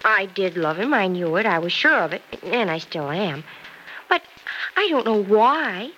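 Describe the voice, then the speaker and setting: A woman's voice: mid-range voice, sing-song, measured pace, a bit bright. An actress playing an earnest, devoted young woman in an old-time radio drama, speaking emphatically. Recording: noisy vintage radio recording.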